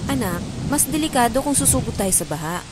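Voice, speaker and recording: Woman as protective, worried mother in radio drama, high voice, noisy ambience behind. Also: very animated, a little fast. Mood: alarmed. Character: protective, anxious mother of a family.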